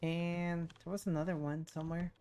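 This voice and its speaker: A woman's voice: on the low side, flat delivery, normal speed, smooth. A woman streaming a video game, giving casual, laid-back commentary and reading game text aloud. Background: soft game music.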